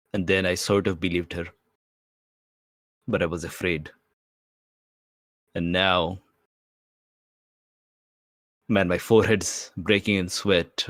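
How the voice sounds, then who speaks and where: Feverish prisoner, acted by a man: intense, urgent, slangy fragments, low voice, dry sound. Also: fairly bright tone, no room sound. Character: feverishly excited male prisoner, quick and intense.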